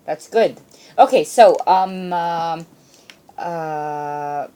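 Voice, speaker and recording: Low-pitched, mellow woman radio host. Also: extremely slow, smooth. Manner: chatty, animated reactions, echoes guest's answers. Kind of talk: casual live radio interview. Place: radio studio.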